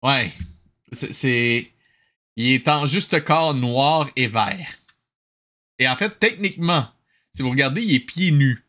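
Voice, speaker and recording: Lively, sarcastic man with bright voice. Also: mid-range voice, slow, long pauses. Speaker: host of a comedic cartoon review show. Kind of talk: casual, sarcastic commentary.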